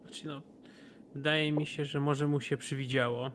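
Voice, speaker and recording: A man's voice: a little high, flat delivery, moderate pace, fairly bright tone, slight background noise. A man playing a tabletop role-playing game, speaking casually in character.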